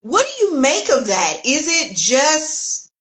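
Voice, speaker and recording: A woman from the United States hosting a conversational interview, animated and fond of acting out quoted voices. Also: high-pitched, slow pace. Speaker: host.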